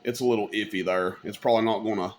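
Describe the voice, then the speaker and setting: A man's voice: lowish voice, fairly even intonation, fast. A man giving a casual, folksy, rambling hands-on demonstration as a hobbyist video host. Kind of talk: casual hands-on product demo. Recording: clear background noise.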